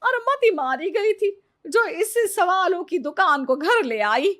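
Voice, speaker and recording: Cartoon mother-in-law, female actor: older, exasperated, bossy scold with a forceful, sharp edge. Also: extremely high pitch, animated, slow pace, full character voice. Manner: exasperated, sharp-tongued nagging. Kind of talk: animated Hindi moral story voice acting.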